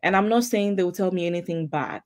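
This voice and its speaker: A middle-aged woman from Ghana, hosting a casual live chat, chatty and quick to laugh. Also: fairly low pitch, darkish tone, no room sound, clean studio sound. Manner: chatty, laughs easily, repeats phrases. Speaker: host.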